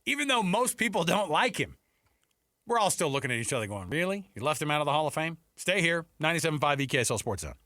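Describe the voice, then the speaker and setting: A man's voice: on the high side, very animated, fast, bright, forceful tone. A man hosting sports talk radio in a casual, chatty, joking way.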